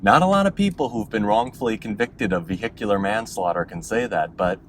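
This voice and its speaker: A man playing a deadpan, dying seawater drinker, telling absurd things matter-of-factly as if interviewed for a mockumentary. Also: fairly low pitch, a little fast, slight background noise, close to an everyday voice. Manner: deadpan, matter-of-fact delivery of absurd lines.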